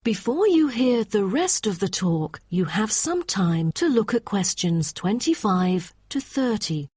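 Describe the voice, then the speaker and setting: A woman's voice: medium pitch, sing-song, a little slow, darkish tone, slightly rough, no room sound. Female exam announcer, scripted instructions, very dry studio-like sound.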